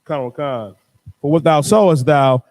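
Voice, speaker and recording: A man in a class reading scripture aloud in a slow, loud, formal way. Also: fairly high pitch.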